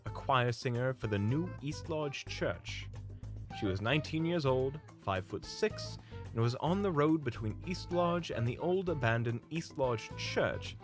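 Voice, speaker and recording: A man acts out a measured leader of monster hunters in a fantasy audio drama. He is courteous, precise and caring, with an expressive mid-pitched voice. Faint music plays underneath. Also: natural voice. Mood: businesslike, polite. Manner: polite, precise, methodical. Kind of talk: audio roleplay acting addressed to the listener.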